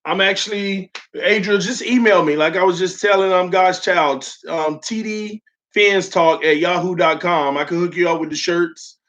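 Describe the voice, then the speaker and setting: A man's voice: sits high, steady tone, normal speed, fairly bright tone. A young man hosting a casual sports fan livestream, still getting over an illness that left his voice sore, talking loosely with lots of "um" fillers and calling his viewers "man" and "y'all.". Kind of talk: casual livestream chat, reading viewer comments. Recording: dull lo-fi stream audio.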